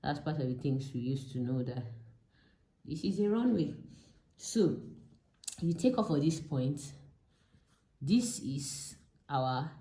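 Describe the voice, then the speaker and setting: Low, mellow Nigerian woman teaching in echoey room. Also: expressive, slow, pauses often. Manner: didactic, repeats key terms step by step. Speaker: pilot and aviation instructor. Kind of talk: informal explanatory lesson with a drawn diagram.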